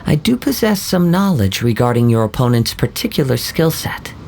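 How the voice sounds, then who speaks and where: A man voicing a calm young wandering samurai who speaks in a formal, measured, courteous way. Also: medium pitch, vocal fry, slight background noise. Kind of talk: video game voice acting.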